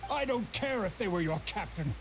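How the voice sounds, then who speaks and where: A man playing a stern, indignant middle-aged island trader in a video game, gruff and argumentative. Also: high-pitched, animated, normal speed, a little hiss or hum, rough recording. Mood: commanding. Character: stern, indignant older male island trader, grieving father.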